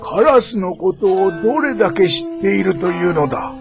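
Creaky old crow elder. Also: male voice, sits high, lively intonation, moderate pace, over music. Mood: stern, admonishing. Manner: archaic elder's speech, admonishing. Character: stern, wise old male crow elder. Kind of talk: Japanese radio drama acting.

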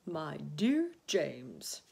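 A gentle old male grasshopper from a children's storybook as a woman voices him. He speaks slowly and courteously, with a slightly formal, measured manner and some huskiness. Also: fairly low pitch, lively intonation, breathy edge, heavily acted. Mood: grateful. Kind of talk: children's storybook read aloud.

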